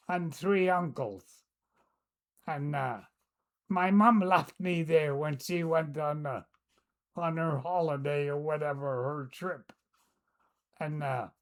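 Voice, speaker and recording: An older woman, recalling the past in a casual, hesitant way, often pausing to search for words. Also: low-pitched. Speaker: storyteller. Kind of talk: casual recollection.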